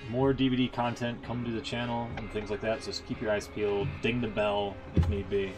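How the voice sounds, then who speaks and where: Low voiced guy streaming games, rambling opinions, swears a bit, music playing behind him. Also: level tone, brisk, low-quality recording. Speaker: game streamer and host. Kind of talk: casual livestream commentary.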